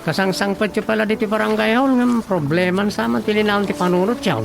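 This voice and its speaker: A man's voice: sits high, quick pace, vocal fry, over music. A man with a Filipino accent playing a chatty, teasing village official, the comic sidekick in a radio drama. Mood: bantering. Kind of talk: comedic radio drama acting.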